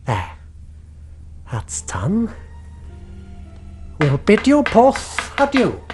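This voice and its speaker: A man's voice: high-pitched, wildly swooping pitch, very slow, music in the background, exaggerated voice. An actor playing a menacing blind old beggar seaman in a radio play, whining pleas and barking orders. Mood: sly, gloating.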